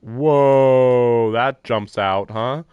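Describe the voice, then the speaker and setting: A man's voice: medium pitch, drawn-out words, a little mellow, clean tone, dry recording, muffled recording. A man streaming a visual novel, reading lines and thinking aloud with wry, self-deprecating asides. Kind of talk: casual let's-play commentary and reading. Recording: live stream with faint game music.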